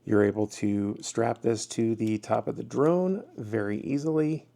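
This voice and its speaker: A man's voice: medium pitch, unhurried, gravelly, mellow. A man giving a casual, hands-on product review as the host of his own video.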